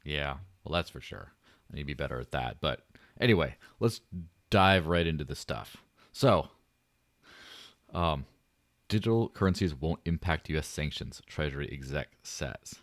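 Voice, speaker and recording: A low-pitched man hosting a casual livestream podcast, his voice light and buttery. He rambles, jokes and pokes fun at himself. The sound is dry and close. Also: animated, moderate pace, a bit bright, no echo. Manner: joking, rambling, self-deprecating. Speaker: podcast host.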